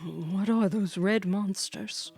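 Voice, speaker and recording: A woman's voice: medium pitch, takes it slowly, darkish tone, breathy edge, no echo. A woman casually commenting on a game she plays, giggly and playful, repeating her phrases. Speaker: streamer reading a story game aloud. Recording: background music. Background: music.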